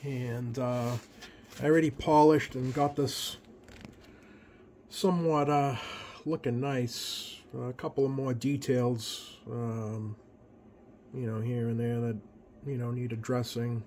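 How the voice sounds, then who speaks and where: A man giving a casual hands-on demonstration in a halting, unscripted way, as a hobbyist presenter at a workbench. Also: medium pitch, fairly even intonation, a little mellow. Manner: halting, hesitant, unscripted delivery. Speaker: radio repair hobbyist presenter. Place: indoors at a workbench. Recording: clear background noise.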